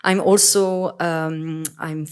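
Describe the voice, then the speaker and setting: A woman's voice: low voice, steady tone, takes it slowly, clean tone. A woman from Morocco whose first language is French, moderating a conference panel in English on a handheld microphone, talking with many hesitations and self-corrections and laughing often. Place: conference hall.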